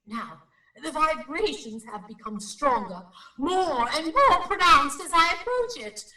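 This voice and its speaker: High-voiced, dramatic psychic investigator. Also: female voice, big pitch swings, unhurried, sharp tone, full character voice. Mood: intent, excited. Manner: formal, precise, dramatic reporting. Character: solemn, self-important female psychic investigator. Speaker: actor playing a paranormal investigator. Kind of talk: radio drama acting. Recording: roomy, slightly distant microphone.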